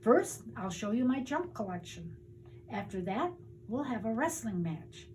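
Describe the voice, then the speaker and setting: Older woman as an eager boy, high-pitched. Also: unhurried, slight background noise. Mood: excited. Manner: excited, emphatic listing. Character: eager young boy full of big sleepover plans.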